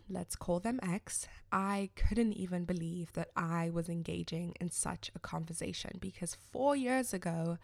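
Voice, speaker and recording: A young woman from Zimbabwe hosting a podcast, giving a candid, measured scripted reflection. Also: fairly low pitch, vocal fry, no room sound, studio quality. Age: young adult. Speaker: podcast host.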